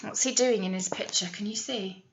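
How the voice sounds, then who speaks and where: A woman teacher reading a picture story aloud to young children, drawing out key words and pausing to ask them questions. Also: mid-range voice, a little hiss or hum, poor audio. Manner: exaggerated, drawn-out words with questions to the listeners. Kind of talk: animated read-aloud storytelling with asides to children.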